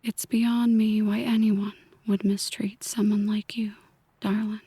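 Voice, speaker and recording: A woman playing a possessive, brooding mafia boss in an ASMR roleplay, speaking in a breathy whisper, deliberately and intimately to one listener. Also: medium pitch, little pitch movement, mellow, no echo. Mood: quietly menacing.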